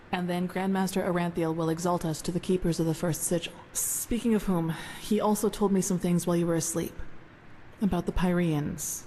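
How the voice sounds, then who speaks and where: Woman playing an earnest, mellow-voiced fantasy novice. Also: on the low side, a little hiss or hum, lightly acted, rough recording. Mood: relieved, friendly. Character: earnest, thoughtful female novice of a holy order. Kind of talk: conversational fantasy game dialogue.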